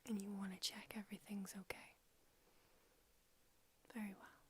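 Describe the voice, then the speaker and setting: A woman's voice: medium pitch, little pitch movement, normal speed, a bit bright, slightly rough. A woman whispering in an ASMR roleplay, voicing a brusque, haughty old female vampire doctor with a dry manner. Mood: whispery, brusque.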